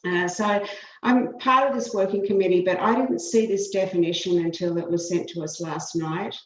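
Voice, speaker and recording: Australian woman with roomy, low voice. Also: moderate pace, slight background noise. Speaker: law professor, invited expert. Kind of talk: remote committee testimony. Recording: roomy echo.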